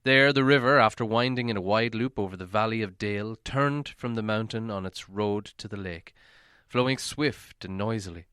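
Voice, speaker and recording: A man reading a story aloud as narration, in a measured, dramatic storytelling manner. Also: on the low side, pushed and bright, clear, resonant tone, no echo, studio quality. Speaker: audiobook reader.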